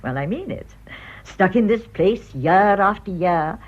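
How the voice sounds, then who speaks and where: Elderly British village busybody: husky, very animated, sly and blunt, radio drama. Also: female voice, mid-range voice, measured pace. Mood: sly, teasing. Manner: teasing, pointed, blunt. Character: nosy, sly old village spinster with arthritis. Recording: muffled radio drama recording.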